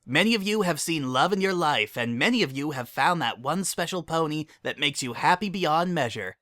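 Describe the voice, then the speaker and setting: A man's voice: a little high, expressive, normal speed, sharp tone, no room sound, studio quality, pitched above the performer's own voice. A man voicing an adoring female unicorn in a story, speaking in an earnest, heartfelt, speech-making way. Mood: tender, adoring.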